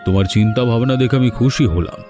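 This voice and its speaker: A man voicing a well-off village landlord in a cartoon, speaking in a measured, authoritative way. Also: medium pitch, over music, natural voice. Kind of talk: Bengali cartoon dubbing.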